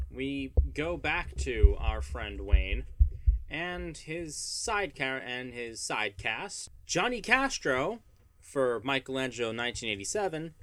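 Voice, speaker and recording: A man's voice: mid-range voice, fairly bright tone, a little hiss or hum. Podcast co-host, New York man, a bit nasal, opinionated, stumbles and restarts words. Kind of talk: casual chat.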